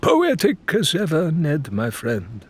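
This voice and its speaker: A man's voice: fairly high pitch, huge pitch swings, slow pace, breathy edge, voice pushed up, full character voice. A learned male pirate, played by an audiobook reader, speaks formally and with care. The voice sits raised and moves widely, grave and explanatory in the manner of an adventure tale. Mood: wry, assured.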